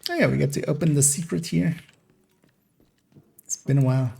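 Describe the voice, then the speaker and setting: A man from Iran with an accent that mixes Iranian, American and Dutch English, giving relaxed, rambling gameplay commentary as a streamer. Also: a little high, brisk, gravelly. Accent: Iranian mixed with American and Dutch English. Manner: relaxed, rambling explanations with little laughs.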